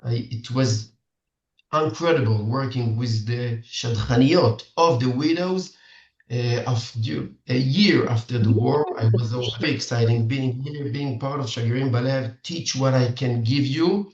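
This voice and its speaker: A middle-aged man with an Israeli accent, a native Hebrew speaker, coaching parents in halting, earnest English full of restarts over a video call. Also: medium pitch. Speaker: dating coach for singles. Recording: distant, roomy, dull video-call audio.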